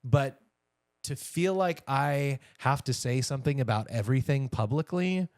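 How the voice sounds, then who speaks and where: A man's voice: mid-range voice, normal speed, a little mellow, dry recording, clean studio sound. An American man co-hosting a podcast, chatting casually and giving wry, opinionated asides on entertainment news. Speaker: podcast co-host.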